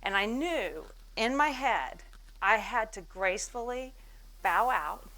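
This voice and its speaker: A woman's voice: on the high side, expressive, takes it slowly, sharp tone, poor audio. A woman in her forties giving a business talk on stage, telling her story with self-mocking humor and acting out the people she quotes. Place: event stage before an audience.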